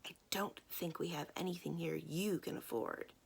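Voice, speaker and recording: A woman voicing a hostile older waitress in a children's novel, speaking in a hushed, cutting way. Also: sits low, steady tone, normal speed, vocal fry, husky, heavily acted. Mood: cold contempt.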